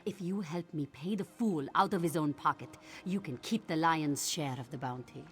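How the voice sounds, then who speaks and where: A woman's voice: sits low, moderate pace, faint music behind, lightly acted. A woman voicing a defiant, scheming ship captain in a video game, with a sardonic, colourful manner. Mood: conspiratorial. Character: wry, scheming female ship captain, hard-drinking and defiant. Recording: noisy ambient background.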